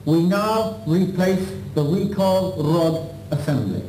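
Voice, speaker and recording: A man with a slightly high voice narrates a practical demonstration as an instructor. He is formal and methodical, going step by step. The sound is a roomy old videotape copy with faint noise. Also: lively intonation, slow pace, dark tone. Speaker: weapons instructor.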